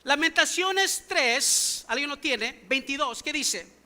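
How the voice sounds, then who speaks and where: Man, pastor, sermon, very high voice, sharp bright tone, English-native speaker, rhetorical questions. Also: lively intonation, slow. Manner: emphatic, full of rhetorical questions to the congregation. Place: church sanctuary.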